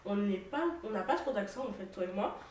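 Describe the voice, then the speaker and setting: A young woman from the French Antilles talking casually, relaxed and hesitant, with phrases that trail off. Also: mid-range voice, brisk. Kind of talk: casual conversation. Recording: echoey room, distant mic, faint noise.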